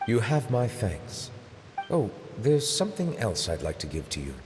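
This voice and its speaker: An actor playing a stately, dry-humored male judge in a video game, speaking in a formal, precise, measured way. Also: on the low side, big pitch swings, music in the background. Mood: composed.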